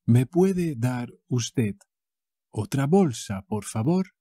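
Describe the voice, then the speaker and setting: A man from Spain with a Castilian Spanish accent teaches short phrases at a very slow, careful pace, repeating each one in variants. His voice is mellow and a little husky. It is recorded close and dry. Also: medium pitch, lively intonation, no echo. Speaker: language teacher. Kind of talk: language lesson.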